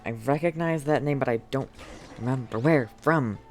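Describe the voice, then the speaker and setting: A game streamer giving casual live commentary, halting and thinking aloud. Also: mid-range voice, faint music behind.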